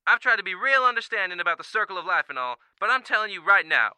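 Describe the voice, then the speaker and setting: A man's voice: high voice, big pitch swings, fast talker, bright, forceful tone, clear, resonant tone, no room sound, full character voice. An actor playing an angry man leaving a blunt complaint on an answering machine. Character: irate rural man threatening to shoot a creature. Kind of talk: audio drama answering-machine message.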